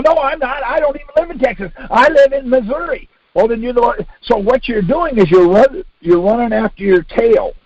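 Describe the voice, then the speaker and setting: Older man, American accent, high voice, dry dull call audio, insistent, repetitive, informal instructor. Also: darkish tone, no room sound. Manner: insistent, repetitive, uses rhetorical questions. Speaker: speaker leading a conference call. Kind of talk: informal instructional talk with anecdotes and acted-out exchanges. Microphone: phone conference line.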